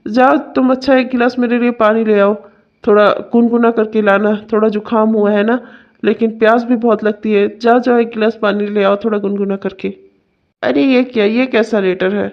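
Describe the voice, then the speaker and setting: A woman's voice: fairly high pitch, steady tone, normal speed, natural voice. Woman acting a bossy young husband, muffled sound. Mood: coaxing, then casual, insistent. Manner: bossy, complaining, melodramatic. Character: domineering, indignant young husband. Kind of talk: melodramatic Hindi family story acting.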